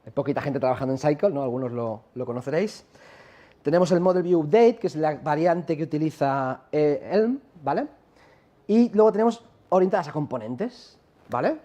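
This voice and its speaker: A man's voice: a little high, animated, moderate pace, mellow. A man from La Mancha with a Castilian Spanish accent, giving a chatty conference talk and throwing questions at the audience. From La Mancha, Spain. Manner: chatty, asks the audience questions, many tag questions. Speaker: conference speaker. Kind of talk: live tech conference talk.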